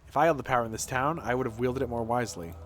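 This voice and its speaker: A man's voice: mid-range voice, rapid-fire, natural voice. A man voicing a blunt, self-assured doctor in a video game, with pointed and formal questioning. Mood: scornful. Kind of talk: dramatic reading of game dialogue. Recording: game music behind the voice.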